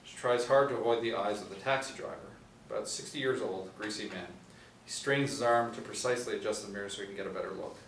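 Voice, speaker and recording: Low-pitched man narrating in an echoey room. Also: fast, a bit bright. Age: 40s. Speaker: narrator of stage directions. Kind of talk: scripted narration. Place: small room indoors. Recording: roomy, distant mic, faint noise.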